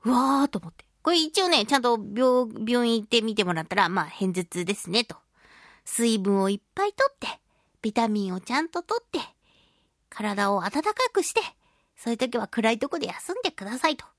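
A woman's voice: a little high, animated, brisk, no room sound, clean studio sound. A woman voice actor hosting a casual solo radio talk show, chatting playfully and acting out sound effects.

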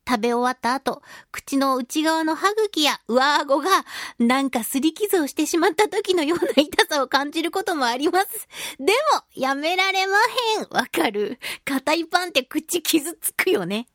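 A woman's voice: high voice, fairly bright tone, dry recording, clean studio sound. A woman hosting a casual radio talk show, chatting expressively with a giggly manner as she reads listener mail. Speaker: radio show host and voice actress.

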